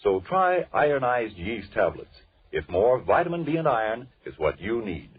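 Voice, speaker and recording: A man's voice: mid-range voice, big pitch swings, normal speed, slightly rough, no echo. A man announcing and narrating in the scripted manner of 1930s radio advertising and drama. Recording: muffled narrow-band 1930s radio recording.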